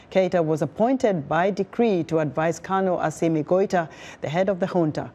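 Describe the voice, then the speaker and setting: A woman's voice: on the low side, warm tone. A woman reading scripted news as an anchor.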